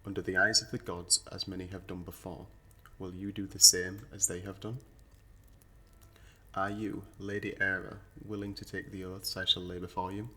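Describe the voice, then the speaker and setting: A man's voice: low-pitched, level tone, moderate pace, pushed and bright. A man playing a solemn officiant of a fantasy noble court in an audio roleplay, speaking in a stately, formal, declamatory manner.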